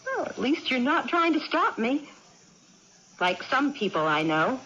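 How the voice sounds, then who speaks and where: An actress playing a merry, headstrong, restless young farm woman in a vintage radio drama, excitable and impatient. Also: sits high, unhurried, exaggerated voice. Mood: impatient, eager. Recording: muffled noisy old radio broadcast.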